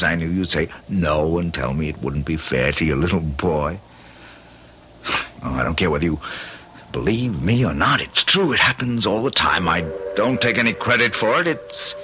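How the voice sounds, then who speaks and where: An actor playing an eccentric, self-assured husband in an old-time radio drama, intense and condescending, with halting, rhapsodic delivery. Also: male voice, deep voice, pushed and bright, slight background noise. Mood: defensive, earnest.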